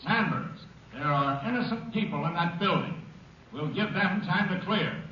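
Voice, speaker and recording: Stern american police captain calling from far off, high echoey voice, old radio. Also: male voice, lively intonation, normal speed, dull audio, slight background noise. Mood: stern, commanding. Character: stern police captain shouting orders from outside. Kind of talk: 1950s radio drama acting.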